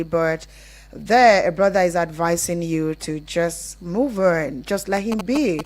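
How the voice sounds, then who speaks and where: Lower-pitched Nigerian woman hosting radio. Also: poor audio. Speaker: radio phone-in show host. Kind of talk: live call-in radio hosting.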